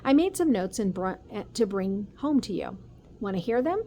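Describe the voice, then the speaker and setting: Serious storybook police chief father read by a woman, calm, methodical, gentle, slightly noisy recording. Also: mid-range voice, moderate pace. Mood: measured. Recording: noticeable background noise.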